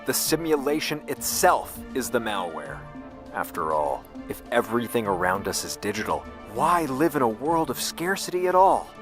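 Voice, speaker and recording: An actor playing an embittered, world-weary scientist in an audio drama, intense and confiding in manner. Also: male voice, mid-range voice, very animated, normal speed, over music, close to an everyday voice. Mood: impassioned, indignant. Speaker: actor in fiction podcast. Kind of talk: audio drama dialogue and narration.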